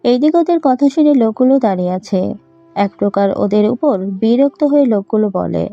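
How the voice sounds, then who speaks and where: A woman's voice: on the high side, expressive, a little fast, no echo, lo-fi sound, soft background music. A woman narrating a scripted audio story.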